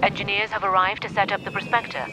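A woman's voice: mid-range voice, level tone, fast, background noise, lightly acted. An actress playing a businesslike female mining director in a video game, giving composed, authoritative briefings. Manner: crisp, composed, instructing.

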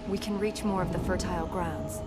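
An actress playing a resolute young princess in a video game, serious and terse with a dry wit. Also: female voice, on the low side, brisk, natural voice. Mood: earnest, explaining. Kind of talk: video game cutscene acting. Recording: loud music behind. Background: loud game music.

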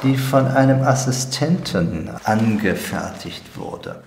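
A man speaks very slowly in a reverberant space with faint background noise. He is an archivist being interviewed, explaining deliberately. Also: mid-range voice, animated, creaky, a little mellow. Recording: echoey location recording, faint background noise.

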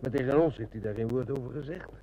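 An actor playing a shrewd, determined police inspector in a radio drama, matter-of-fact and commanding. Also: male voice, mid-range voice, fast, dark tone, lightly acted. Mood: brooding. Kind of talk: Dutch radio drama acting. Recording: noisy old radio recording with hum.

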